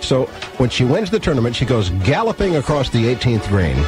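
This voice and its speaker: A man's voice: mid-range voice, sing-song, a little fast. A middle-aged American man co-hosting a radio morning show, telling wry, joking stories in casual banter. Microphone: studio broadcast microphone. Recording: 1990s radio broadcast, music bleeding in. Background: music.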